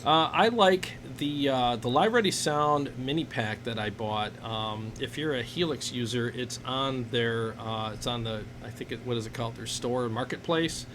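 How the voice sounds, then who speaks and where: There is noise behind this man's mid-pitched voice. He hosts a live stream in a loose, casual chat style, with a jokey and enthusiastic manner. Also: normal speed, hardly any pauses. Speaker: host of a live gear Q&A stream. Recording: noisy live stream recording.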